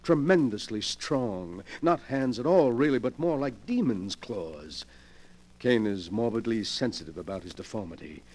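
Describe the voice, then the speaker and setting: An actor playing a sly, morbid invalid gentleman who gloats with effusive politeness, in 1940s radio mystery style. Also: fairly low pitch, expressive, normal speed. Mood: earnest explaining. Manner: effusively polite, theatrical, relishing his words. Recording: vintage 1940s radio broadcast.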